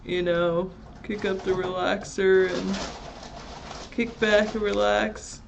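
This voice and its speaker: A woman co-hosting a casual live chat show, relaxed and chatty, answering viewers by their usernames. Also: medium pitch, slow, gravelly, dull audio, noisy background.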